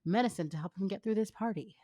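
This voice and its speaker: A woman's voice: mid-range voice, fast talker, warm tone, no echo, studio quality. A young woman reading fan fiction aloud as informal narration, with a casual, wry manner. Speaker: co-host, fan fiction reader. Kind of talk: informal story narration with banter.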